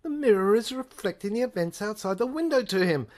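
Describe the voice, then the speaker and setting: A woman voicing an agitated male police sergeant in an audio play, loud and excitable, with raised pitch. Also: brisk, natural voice. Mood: excited.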